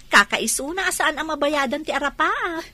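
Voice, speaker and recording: An actress playing an ambitious, devoted young daughter in an Ilocano Filipino radio drama. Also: female voice, sits high, lively intonation, moderate pace, sharp tone, exaggerated voice. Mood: determined, hopeful. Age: young adult.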